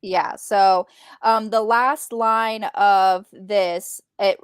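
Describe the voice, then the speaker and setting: A woman's voice: mid-range voice, steady tone, very slow, smooth, no echo, clean studio sound. An American woman co-hosting a casual book chat, explaining conversationally with quoted asides. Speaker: book readalong co-host.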